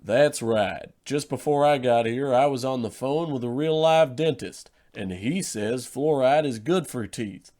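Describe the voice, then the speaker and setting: Vintage sponsor pitchman, male actor: unhurried, folksy salesman, mid-pitched, parody radio ad. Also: darkish tone, natural voice. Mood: smooth selling. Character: folksy old-time cigarette advertising pitchman.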